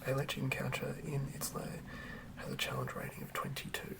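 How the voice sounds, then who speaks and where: A man reading fantasy lore as an ASMR narrator in a hushed, breathy, gravelly whisper, measured and with pauses. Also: medium pitch, very animated, rough recording. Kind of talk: ASMR whispered read-through of a fantasy monster manual.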